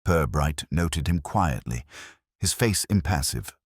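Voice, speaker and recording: A man narrating a scripted mystery story in a measured, formal way. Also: extremely low pitch, lively intonation, breathy edge, no echo, clean studio sound.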